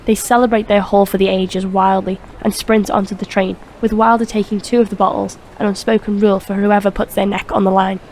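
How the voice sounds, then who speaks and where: Female narrator, medium pitch, scripted crime drama storytelling, faint background ambience. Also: little pitch movement, brisk, hardly any pauses, a little mellow, slight background noise, low-quality recording.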